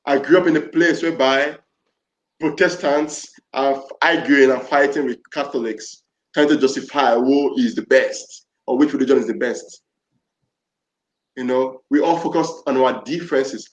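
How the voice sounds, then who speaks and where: A man from Nigeria leading a panel discussion, speaking earnestly and often repeating and restarting his phrases. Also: medium pitch. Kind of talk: reflective panel talk. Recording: roomy, dull lo-fi audio.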